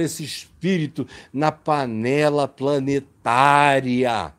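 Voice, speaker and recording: A man with a high voice delivers an impassioned sermon. He speaks slowly and emphatically, letting each phrase land. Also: darkish tone. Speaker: preacher.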